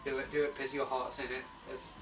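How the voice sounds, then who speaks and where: A man's voice: medium pitch, flat delivery, a little fast. A young English man in a band, talking in an easygoing, somewhat hesitant way in a casual interview. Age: young adult. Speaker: bassist and backing singer. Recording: echoey room, from far off, music behind.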